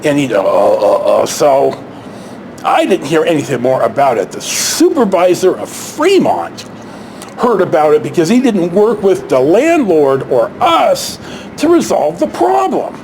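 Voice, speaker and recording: A man casually telling a personal anecdote as a vlog host, in a halting, rambling, blunt way with long hesitations. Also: a little high, huge pitch swings, darkish tone, slight background noise, rough recording.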